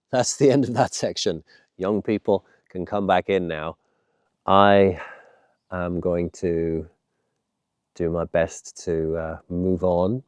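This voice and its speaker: A man talking reflectively to camera as an outdoor vlogger, earnest and measured, sounding slightly nasal and congested with a head cold. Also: low-pitched, darkish tone, smooth, no echo, studio quality.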